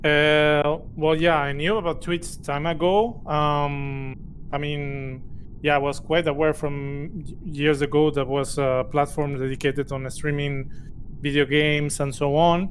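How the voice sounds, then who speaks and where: Male guest, hesitant, slightly raised pitch, faint call noise. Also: flat delivery, measured pace. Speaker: guest panelist. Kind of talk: casual video call chat.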